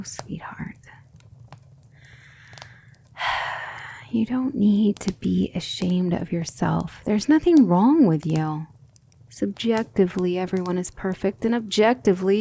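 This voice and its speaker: A woman playing a gentle but firm demon tutor in an ASMR roleplay, speaking in a soothing, measured and formal way. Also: mid-range voice, slight background noise. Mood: tender, soothing.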